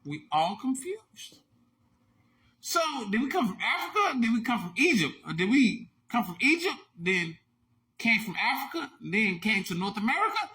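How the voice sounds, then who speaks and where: A man hosting a short online video, giving emphatic, repetitive commentary that keeps bursting into shouts. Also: high voice, very animated, normal speed. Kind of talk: animated social media commentary.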